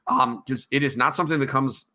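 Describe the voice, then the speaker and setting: A man's voice: mid-range voice, fairly even intonation, brisk, sharp tone. A middle-aged man hosting a casual podcast chat, rambling with many ums and restarts. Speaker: podcast host.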